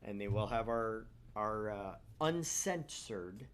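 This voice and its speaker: A man hosting a gaming livestream, chatting casually and plugging things in a rambling, halting way. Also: on the low side, expressive, a bit bright. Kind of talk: casual stream chat and promotion. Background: background music.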